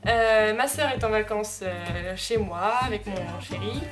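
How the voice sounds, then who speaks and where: A woman's voice: medium pitch, measured pace, music in the background. A woman in her early twenties chatting casually to camera in a rambling, giggly way, recorded at home on an amateur camera. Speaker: vlogger, elder sister. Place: room at home. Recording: noisy amateur digital camera sound.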